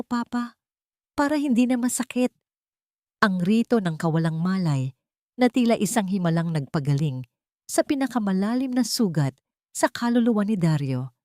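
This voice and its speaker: A Filipino woman reads a dramatic story with a soft-edged, mellow tone and a fairly low voice. Her storytelling is measured, earnest and sentimental, captured in a close, dry recording. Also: dry recording. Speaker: narrator of a drama story podcast. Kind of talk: scripted dramatic story narration.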